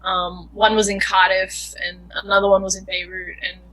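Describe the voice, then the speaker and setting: A woman's voice: medium pitch, level tone, moderate pace, a bit bright, low-quality recording. A young woman filmmaker answering interview questions in an earnest, thoughtful way, often searching for words. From Australia.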